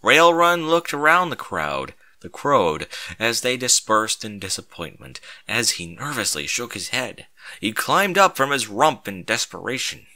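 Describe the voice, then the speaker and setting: A man's voice: medium pitch, sing-song, unhurried, sharp tone. A man narrating a comedic fan-fiction story with mock-epic, theatrical emphasis.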